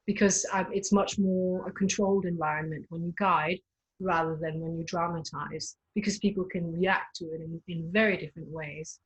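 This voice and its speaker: A woman's voice: lowish voice, fairly even intonation, normal speed, slightly rough, rough recording. A woman whose first language is Danish, a tour guide and performer, talking in a conversational interview with frequent hesitations and restarts. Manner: hesitant, restarts often, laughs lightly.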